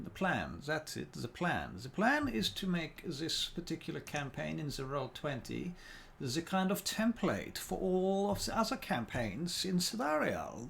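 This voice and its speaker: A man's voice: on the high side, creaky, fairly bright tone, a little hiss or hum. A tired man from New Zealand chatting casually on a livestream as a dungeon master, thinking aloud, muttering and humming to himself, speaking in a put-on accent rather than his own. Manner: deliberately speaking in a put-on non-native accent. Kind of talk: casual livestream chat while working.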